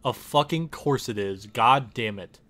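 A man's voice: mid-range voice, measured pace, bright, forceful tone. A man doing comedic parody dubbing of a game, sarcastic, exasperated and sweary. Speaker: parody series creator voicing the player character. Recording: voice over game music.

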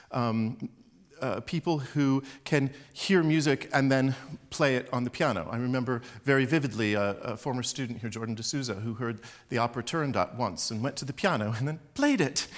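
A middle-aged man talks through a lecture in a concert hall, his voice picked up by a podium mic some way off. He keeps it loose and conversational, with plenty of fillers and little laughs. His pitch sits in an ordinary middle range. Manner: relaxed, chatty, with fillers and small laughs. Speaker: opera professor giving a lecture. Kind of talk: public lecture with demonstrations.

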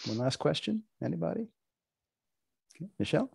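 A man's voice: fairly high pitch, big pitch swings, fairly quick, vocal fry, darkish tone, slightly rough, dry recording, clean studio sound. An older man acting as host and master of ceremonies, moderating a session of readings.

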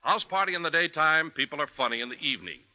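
A man's voice: fairly high pitch, animated, fast, pushed and bright, low-quality recording. A man announcing in polished, punchy 1950s radio promo style.

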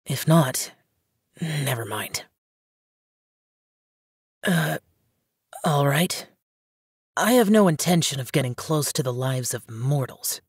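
Game role: aloof young male immortal, low-pitched and husky, terse and solemn. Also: a little mellow, dry recording, clean studio sound, heavily acted. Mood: stoic. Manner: terse, reserved, solemn, formal phrasing. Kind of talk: English video game character dialogue.

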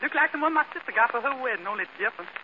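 A man playing an excitable, jokey woman housekeeper in folksy, ungrammatical speech, in 1940s radio comedy style. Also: very high-pitched, very fast. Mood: jovial. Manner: folksy, ungrammatical, giggly and exclaiming. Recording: noisy muffled 1940s radio, loud audience.

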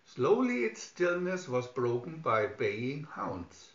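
A man's voice: medium pitch, sing-song, slow pace. A man narrating a scripted children's story as a storybook reader. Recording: dull lo-fi recording, roomy echo.

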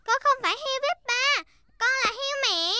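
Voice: very high voice, expressive, moderate pace, heavy background noise. A performer voicing a cheerful, bossy little girl piglet who plays at being grown-up, in a children's cartoon dub. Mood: playfully self-important. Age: child character. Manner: bossy, playful, giggly. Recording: music behind the voice.

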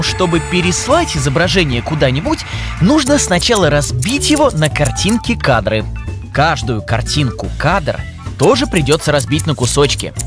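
A man narrates a children's educational cartoon. His pitch moves in a lively way as he explains. Music plays clearly behind his scripted delivery.

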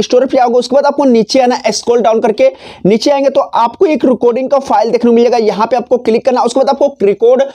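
A man's voice: very high-pitched, mellow, muffled recording. A man presenting a step-by-step phone tutorial off the cuff, in a repetitive instructional way. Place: small decorated indoor room. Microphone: clip-on lapel mic.

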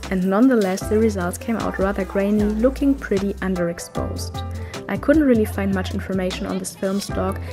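A woman in her thirties from Germany, with a German accent, talking in a casual vlog style as a street photographer. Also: medium pitch. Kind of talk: casual vlog talk and narration.

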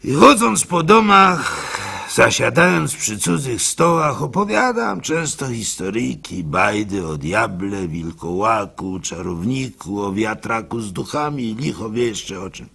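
Man acting gentle old simpleton, radio play monologue, gravelly, bright forceful tone, swooping pitch, theatrical. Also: animated, full character voice. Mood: reflective. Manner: theatrical, slow, widely swinging delivery with drawn-out words. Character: old wandering simpleton storyteller, gentle, trusting, weary. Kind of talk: dramatic first-person monologue, radio play.

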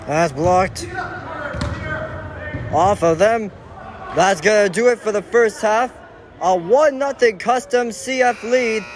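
A man giving excitable play-by-play commentary while filming a soccer match on a phone from the sideline of a large indoor hall. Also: high-pitched, slow pace, pauses often. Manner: excitable, exclaiming at each chance. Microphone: phone camera filming from the sideline. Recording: noisy phone recording in a big hall.

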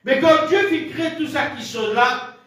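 High-voiced Mauritian preacher in an echoey room. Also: male voice, moderate pace, fairly bright tone. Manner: rhythmic preaching in short repeated phrases, with gestures. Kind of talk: sermon. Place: small room with a draped pulpit, indoors. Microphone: camera at a distance across the room. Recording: echoey room picked up from far off.

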